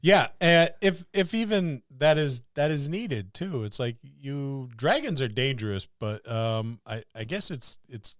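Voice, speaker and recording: A man with a clean, resonant voice at middle pitch talks casually about tabletop gaming as a podcast co-host. The sound is dry and free of room echo. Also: animated, unhurried, hardly any pauses.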